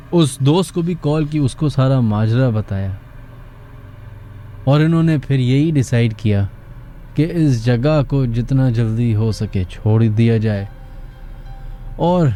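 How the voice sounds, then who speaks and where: A man's voice: medium pitch, dark tone, slight background noise. A man with a Pakistani accent hosting a radio horror show, telling eerie stories in a theatrical yet conversational way over music. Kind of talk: dramatic horror storytelling for radio.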